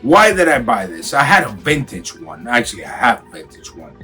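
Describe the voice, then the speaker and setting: A raspy-voiced man with a cold, from Miami, hyping up a casual clothing unboxing for his viewers. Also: medium pitch, wildly swooping pitch, moderate pace, sharp tone, faint music behind. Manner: hyped, slangy, talks straight to viewers. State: has a cold. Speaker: sneaker and clothing vlogger. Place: home room.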